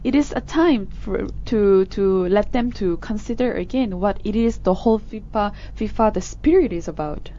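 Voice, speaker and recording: A woman with a Korean accent co-hosting a casual news discussion. She speaks haltingly and often pauses to search for words. Her voice sits in a middle range, and the recording is slightly dull. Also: mid-range voice, dark tone. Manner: halting, with many fillers and restarts. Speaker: co-host of a news discussion show.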